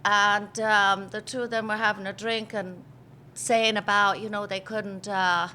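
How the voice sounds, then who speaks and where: Irish lady in her fifties telling stories, bright voice, faint hiss behind. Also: mid-range voice, level tone, a little slow. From Ireland. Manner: chatty storyteller, laughs easily, acts out quotes. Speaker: pub owner, interviewee. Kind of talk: casual interview chat.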